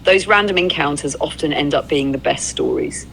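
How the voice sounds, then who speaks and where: Creaky, androgynous conversational AI voice. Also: mid-range voice, huge pitch swings, moderate pace, a bit bright, slight background noise, poor audio. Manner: agreeable, reflective, fluent. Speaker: AI companion playing a road-trip documentarian. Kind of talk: conversational roleplay storytelling.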